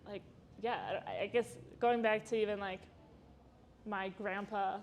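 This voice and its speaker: A woman's voice: medium pitch, fairly even intonation, a little slow, a little hiss or hum. A woman in her twenties from Chicago, a podcast guest talking casually with lots of "like" fillers and laughter. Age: 20s. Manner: chatty, laughing, frequent "like" fillers. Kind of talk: casual interview chat.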